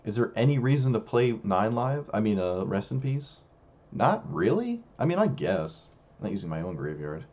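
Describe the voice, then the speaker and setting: Young male streamer, low voice, hoarse and fading, muttering self-critical game commentary on a dull lo-fi mic. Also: sing-song, moderate pace, a little mellow. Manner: musing, self-critical, talks to himself. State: losing his voice, hoarse. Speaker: streamer playing a card game. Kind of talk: casual gameplay commentary.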